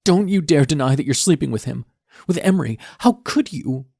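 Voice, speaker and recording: A distraught teenage daughter hurls accusations through her tears, as a male narrator puts her on in this audiobook scene. The voice is pushed high and loud, close to shrieking, with a husky rasp underneath. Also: very animated, unhurried, no echo, heavily acted. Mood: furious.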